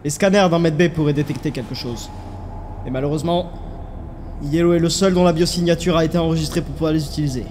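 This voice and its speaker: A man voicing an earnest, practical crewmate in a dramatic animated film dub, urgent and tense. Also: a little high, fast talker, a little hiss or hum, natural voice. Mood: practical, grim.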